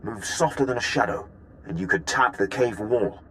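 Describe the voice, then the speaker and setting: An actor playing a cautious military intelligence officer in a video game, giving a formal, precise briefing. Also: male voice, fairly low pitch, expressive, normal speed, a bit bright, slight background noise. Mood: measured, strategic.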